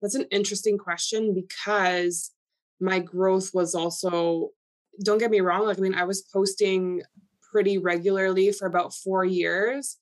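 A woman's voice: mid-range voice, fairly even intonation, measured pace. A young woman from Canada whose first language is Polish, talking candidly as a podcast guest with many fillers. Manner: chatty, filler-heavy, candid. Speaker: guest influencer. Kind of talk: casual podcast interview.

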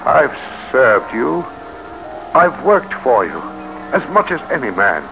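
An actor playing an earnest, conflicted American statesman in old-time radio drama style, with a halting, emphatic, theatrical delivery and an American accent. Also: medium pitch, big pitch swings, gravelly, over music, exaggerated voice. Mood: anguished, resigned. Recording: noisy vintage radio broadcast recording.

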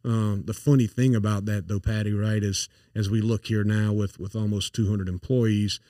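A man's voice: lowish voice, level tone, moderate pace, dark tone, no echo. A man in his forties with a south Louisiana accent, a company founder talking casually as an interview guest, earnest and full of fillers and restarts. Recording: dry, a bit far from the mic.

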